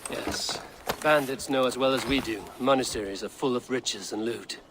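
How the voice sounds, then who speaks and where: A man's voice: mid-range voice, moderate pace, noisy background, lightly acted. A man playing a resolute, businesslike Viking raider in a video game, plain and purposeful in delivery. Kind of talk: dramatic video game acting. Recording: music behind the voice. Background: music.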